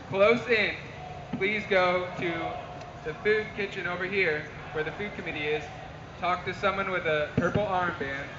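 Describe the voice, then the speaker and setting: A man's voice: high voice, background noise. A man from the food committee listing practical needs at an outdoor assembly. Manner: brisk, practical list of needs. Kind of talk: public assembly announcement. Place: outdoor city park assembly. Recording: dull, echoey outdoor camera recording.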